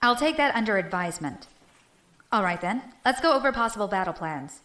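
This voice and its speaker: A woman playing a composed fleet leader in a science fiction audio drama, brisk, formal and decisive. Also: mid-range voice, animated, a bit bright, lightly acted. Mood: composed, businesslike. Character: composed female fleet leader seeking tactical advice. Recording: echoey room, faint background ambience.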